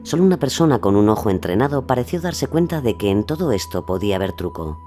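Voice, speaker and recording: A male podcast narrator with a lowish, mellow voice tells a documentary story. His intonation moves a lot, and the scripted delivery is measured. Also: sing-song. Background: faint music.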